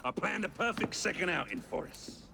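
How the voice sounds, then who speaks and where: Gravelly, flamboyant gang boss. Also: male voice, high-pitched, very animated, moderate pace, music in the background, exaggerated voice. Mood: gleeful, grand. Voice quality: gravelly, creaky. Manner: theatrical, grandiose, drawling. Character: flamboyant theatrical male gang leader, sly and gleeful. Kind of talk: video game cutscene acting.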